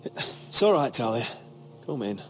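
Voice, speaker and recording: An actor playing a solemn, protective young lord in a fantasy video game, measured and serious. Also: male voice, mid-range voice, very animated. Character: solemn, battle-scarred young lord, protective older brother. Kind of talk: dramatic video game acting. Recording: music behind the voice.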